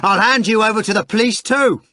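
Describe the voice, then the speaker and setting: A man voicing an agitated young poet who shouts in a menacing, furious way. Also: high voice, fairly quick, pitched above the performer's own voice. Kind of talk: audiobook character voice.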